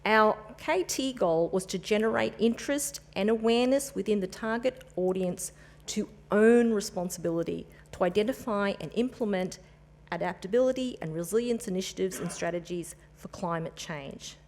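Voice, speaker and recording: A woman's voice: mid-range voice, moderate pace, a little mellow. A woman presenting at a forum in a conference room, businesslike, working through her points with light asides.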